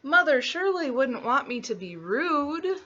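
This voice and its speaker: A woman's voice: sits high, big pitch swings, unhurried, a bit bright, dull audio, pitched above the performer's own voice, heavily acted. A woman voicing a trusting, polite little girl in a storybook, earnest and wide-eyed in a sing-song way. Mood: naive cheer.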